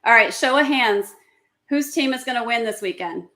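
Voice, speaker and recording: A woman's voice: fairly high pitch, lively intonation, normal speed, pushed and bright. A woman hosting a casual podcast, upbeat and steering the conversation with questions.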